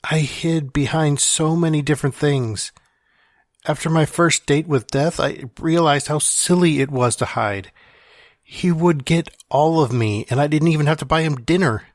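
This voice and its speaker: A man's voice: a little high, normal speed, no echo, clean studio sound, close to an everyday voice. A man playing a wry, confessional dying man reading out his farewell letter in an audio drama. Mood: earnest, reflective. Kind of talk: dramatic monologue in fiction podcast.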